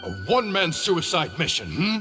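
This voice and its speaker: An exasperated scholar in a video game, scolding at length in a slightly high, swooping voice. His theatrical, indignant lines ring in a long hall reverb over music. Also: wildly swooping pitch, moderate pace, pushed and bright, heavily acted. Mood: exasperated, sarcastic. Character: scolding, indignant older scholar berating an old friend.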